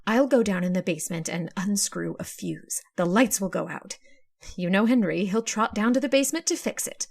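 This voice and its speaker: Creaky voiced scheming woman, done by an actress, old radio suspense drama style. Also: mid-range voice. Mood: cold scheming. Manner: halting when apologetic, sharp and commanding when plotting. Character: scheming, domineering woman plotting murder, feigning meek remorse.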